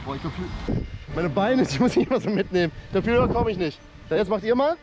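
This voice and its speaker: A young man chatting casually outdoors in an animated way, directing a playground game as a video host. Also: sits high, fast talker, noisy background. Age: young adult. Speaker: YouTube host.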